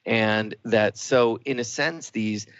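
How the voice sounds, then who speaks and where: A man's voice: on the low side, slow pace, fairly bright tone, no echo. Guy co-hosting a radio discussion, reflective and explaining stuff, super dry room, kinda dull audio. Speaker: radio co-host. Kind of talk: reflective talk-show discussion.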